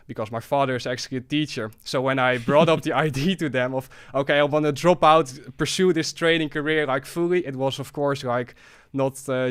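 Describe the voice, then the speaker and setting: A man's voice: mid-range voice, smooth. An eighteen-year-old man from the Netherlands with a Dutch accent, chatting eagerly as a podcast guest. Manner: chatty, explains eagerly, light laughs. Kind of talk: relaxed interview talk.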